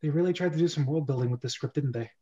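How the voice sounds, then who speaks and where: A man's voice: fairly high pitch, racing, dark tone, muffled recording. A man chatting casually as host of an amateur script reading, handing out parts.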